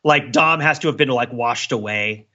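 A man's voice: medium pitch, normal speed, sharp tone, dull audio. A man co-hosting a podcast in casual, rambling discussion, often tossing in "like" and "right.". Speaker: podcast co-host.

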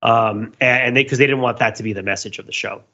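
A man hosting a casual comedy podcast, retelling stories in an animated, rambling way full of tangents. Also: medium pitch, quick pace. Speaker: podcast host. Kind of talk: casual comedic pop-culture discussion. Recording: dull lo-fi podcast audio.

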